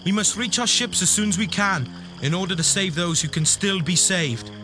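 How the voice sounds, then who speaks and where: A man's voice: high voice, normal speed, close to an everyday voice. A man acting an urgent crusader messenger in a children's audio drama, dramatic in delivery. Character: urgent crusader army messenger bearing grim news. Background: music.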